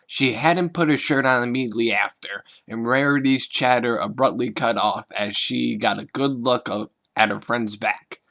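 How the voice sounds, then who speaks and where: A man's voice: medium pitch, vocal fry, fairly bright tone. A man reads fan fiction aloud in a mid-range voice. He narrates a scripted story as an amateur reader.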